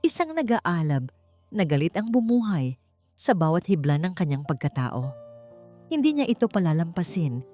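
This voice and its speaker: A woman narrating a scripted dramatic story with deliberate phrasing, as an audiobook narrator. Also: fairly low pitch, very animated, warm tone. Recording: music bed behind a dry voice. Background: background music.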